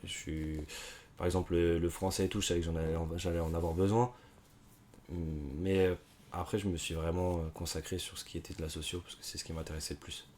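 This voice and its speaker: A man in his twenties, born in Canada and raised in France, telling his story as a podcast guest in a hesitant, unhurried way. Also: deep voice, level tone, darkish tone, a little hiss or hum. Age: 20s. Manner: hesitant, many fillers and restarts, unhurried. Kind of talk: casual conversation.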